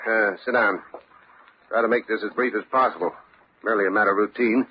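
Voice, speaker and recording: An actor playing a shrewd, weary veteran police lieutenant in an old radio crime drama, dry, businesslike and pressing. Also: male voice, fairly low pitch, a little fast, close to an everyday voice. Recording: dull old radio recording, faint noise.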